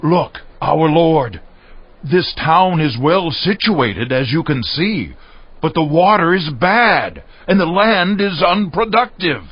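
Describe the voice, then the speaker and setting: An actor playing a humble, earnest follower who speaks with deference in a dramatized scripture reading. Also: male voice, a little high, animated, slow, slightly rough, no room sound, exaggerated voice. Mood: respectful complaint. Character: humble, earnest male follower pleading respectfully. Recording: muffled audio over faint music.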